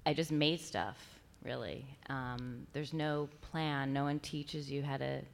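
A woman from New York speaking as a guest at a live panel. Her voice is quite low for a woman, and she keeps a dry, self-deprecating, matter-of-fact tone. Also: fairly even intonation, slow, creaky. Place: stage before a live audience.

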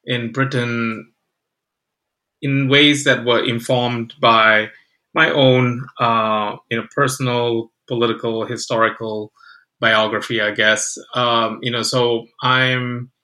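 Man from Malaysia, very bright sharp voice, mid pitch, reflective, halting interview answers. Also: flat delivery, rough recording. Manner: hesitant, reflective phrasing with frequent "you know". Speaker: academic podcast guest.

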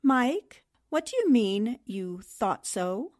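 High-voiced, sharp newspaperwoman. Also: very animated, slow. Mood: surprised, questioning. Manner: probing, precise, questioning. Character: sharp, observant young female newspaper reporter, inquisitive. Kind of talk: 1940s radio drama acting.

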